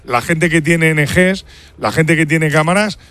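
A man's voice: a little high, unhurried, a bit bright, no room sound. Assured sports reporter man. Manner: assured, emphatic, talks over others. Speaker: field reporter at the stadium. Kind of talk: live sports radio chat.

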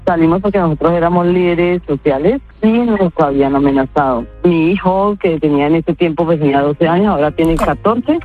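A woman's voice: low voice, a little fast, few pauses. Colombian woman interviewee, unscripted testimony, muffled lo-fi recording with faint music. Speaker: interviewee in a news report. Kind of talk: spontaneous testimony. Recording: muffled lo-fi report clip.

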